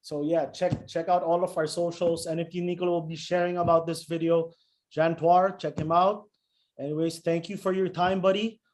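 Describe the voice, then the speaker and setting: A man's voice: on the high side, little pitch movement, moderate pace, a little mellow. A man hosting a casual video interview in an effusive, informal, gushing way. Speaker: interview host.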